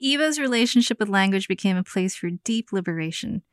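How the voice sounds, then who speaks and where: A woman's voice: medium pitch, lively intonation, fairly quick, no room sound, clean studio sound. A woman with an American accent hosting a podcast, moving between scripted narration and conversational interviewing.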